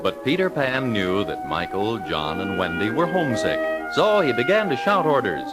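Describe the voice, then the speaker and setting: Lively male storyteller over loud music. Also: lowish voice, a loud crowd. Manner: dramatic, measured storytelling. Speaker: narrator. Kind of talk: scripted children's storybook narration.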